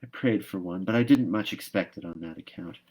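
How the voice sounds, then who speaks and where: A man's voice: sits low, brisk, darkish tone, a little hiss or hum, natural voice, low-quality recording. A dreamy young orphan girl from a storybook, as a male narrator puts her on. She is earnest and imaginative. Her speech is wistful, wordy and a little dramatic. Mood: disappointed, dreamy.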